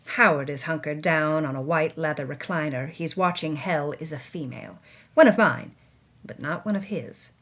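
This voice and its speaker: A woman's voice: low voice, normal speed, pitched below the performer's own voice. A woman voicing a world-weary, wry female movie star reading dramatically from her journal, in a noir storytelling style.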